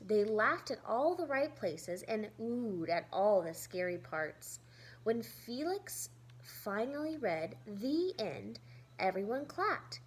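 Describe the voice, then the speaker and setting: A woman reads a children's story aloud as a teacher would, pausing to explain things simply. Her voice is fairly high and very animated, at an unhurried, slow pace. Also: slight background noise, low-quality recording. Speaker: teacher reading aloud to pupils. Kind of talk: expressive children's story read-aloud with asides.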